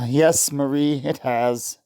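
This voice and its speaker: A man's voice: medium pitch, fairly even intonation, very slow, mellow. Radio play lead: man acting a young male scientist, earnest, romantic, pleading. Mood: tender. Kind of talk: old-time radio drama acting.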